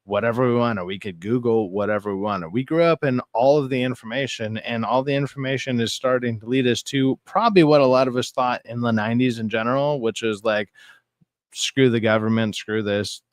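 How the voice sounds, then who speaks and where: A man's voice: mid-range voice, no room sound. A man in his thirties hosting a livestream talk show, chatting casually and giving exasperated, opinionated rants. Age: 30s.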